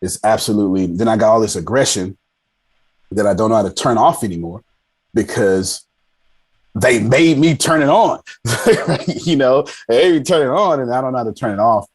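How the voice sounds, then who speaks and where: A man's voice: medium pitch, normal speed, slightly rough. A man around forty telling his story casually as an interview guest, self-deprecating and emphatic, repeating phrases for effect. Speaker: podcast guest, army veteran. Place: indoors on a video call.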